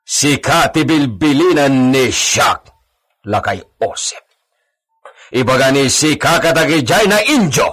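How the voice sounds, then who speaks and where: A haughty, suspicious hacienda master in a radio drama. His voice is mid-pitched and husky, swinging widely as he orders servants about in a stern, imperious way. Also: animated, measured pace, fairly bright tone. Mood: scornful, angry. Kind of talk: Ilocano radio drama acting.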